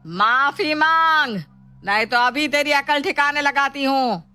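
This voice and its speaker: A woman voicing the domineering, taunting ghost of an older mother-in-law in a storybook drama, scolding in drawn-out phrases. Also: high-pitched, bright, forceful tone, faint music behind, voice pushed up, full character voice. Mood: scornful, scolding. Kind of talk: Hindi moral storybook drama.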